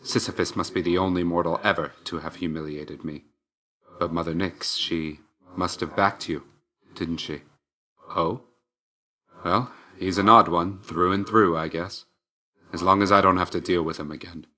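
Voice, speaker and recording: A man voicing a stern, reserved and dutiful god of death in a video game, speaking in a formal, measured way. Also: deep voice, fairly even intonation, bright, forceful tone. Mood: wry, stern. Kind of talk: video game character voice lines.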